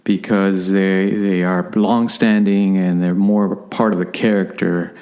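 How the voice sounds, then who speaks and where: A man co-hosting a relationship podcast as the psychology expert, explaining terms in a measured way. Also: sits low, flat delivery, darkish tone.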